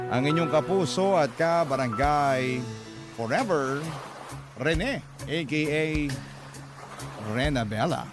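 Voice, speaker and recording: A man with a Filipino accent narrating letters as a radio host, dramatic and emotive, with measured pauses. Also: fairly high pitch. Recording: loud music bed behind.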